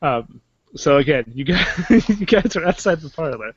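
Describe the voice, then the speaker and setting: A man's voice: fairly high pitch, expressive, moderate pace, lo-fi sound, background noise. A man running a tabletop game as game master, narrating events and often stumbling and restarting his sentences.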